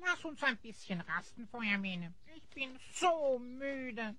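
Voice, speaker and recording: An actor voicing a small, timid, easily offended elf goblin in a fantasy audio drama, squeaky and theatrical. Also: very animated, takes it slowly, bright, forceful tone, heavily acted. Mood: dreamy, tired. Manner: whiny, indignant, theatrical.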